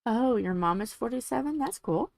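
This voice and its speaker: A woman in her forties with a young-sounding, soft voice, chatting casually while she crafts on a livestream. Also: a little high, expressive, normal speed, gravelly, dry recording, studio quality. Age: 40s. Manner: soft, sleepy-sounding delivery.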